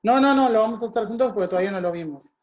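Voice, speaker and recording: A woman's voice: fairly high pitch, racing, darkish tone. A woman tutoring chemistry, patiently working through calculations step by step and thinking aloud. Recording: dull lo-fi sound.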